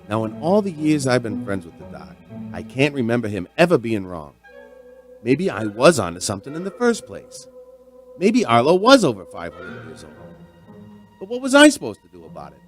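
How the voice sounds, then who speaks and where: Man playing a lively, folksy, curious barkeep. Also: mid-range voice, lightly acted. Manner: folksy, hesitant, probing. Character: friendly, curious, folksy barkeep and amateur sleuth narrating his tale. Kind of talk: radio drama acting and narration.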